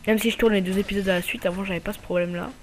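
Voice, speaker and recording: A man's voice: high voice, fairly even intonation. A man with a slight cold giving casual, hesitant commentary over his own gameplay as channel host. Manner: hesitant, many filler pauses.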